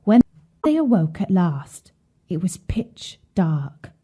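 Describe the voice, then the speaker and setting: A woman reading a scripted children's bedtime story in a measured, expressive way. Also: lowish voice, breathy edge.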